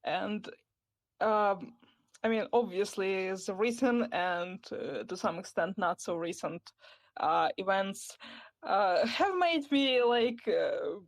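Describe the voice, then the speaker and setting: A woman's voice: medium pitch, slow pace. A woman from Ukraine, a podcast guest talking casually and rambling, hedging often with "like" and light laughter. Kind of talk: casual interview chat.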